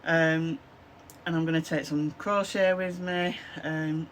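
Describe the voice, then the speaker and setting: Hoarse lancashire lady in her 50s rambling to her camera at home, chesty cough, lots of ums, bit of faint hiss behind. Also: deep voice, fairly even intonation, slow pace. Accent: Lancashire English. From Lancashire, England. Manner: rambling, with many "um, so yeah" fillers. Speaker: craft vlogger. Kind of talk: casual chatty to-camera vlog. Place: small home craft room indoors. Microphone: camera at desk, close framing.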